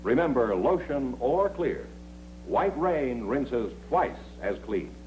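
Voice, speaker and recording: A man's voice: mid-range voice, big pitch swings, slow pace, darkish tone, faint music behind, low-quality recording. A man working as the show's announcer, reading sponsor copy in a polished announcer style. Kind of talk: radio-TV announcer and sponsor read.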